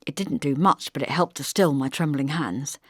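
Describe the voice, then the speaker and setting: Female audiobook narrator, fairly low pitch, expressive storytelling, dry close sound, scripted literary reading. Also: moderate pace, breathy edge, no echo.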